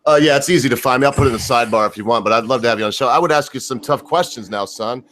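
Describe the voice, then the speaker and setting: A man's voice: mid-range voice, rapid-fire, a bit bright, no room sound. A man who hosts his own show, talking casually and correcting himself as he rambles. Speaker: show host. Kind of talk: casual group chat.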